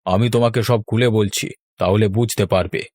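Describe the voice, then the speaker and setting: A man voicing a stern, principled middle-aged village landowner in a cartoon, speaking in a measured, formal way. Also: lowish voice, fairly even intonation, a little mellow, no echo, lightly acted. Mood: calm. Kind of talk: cartoon voice acting.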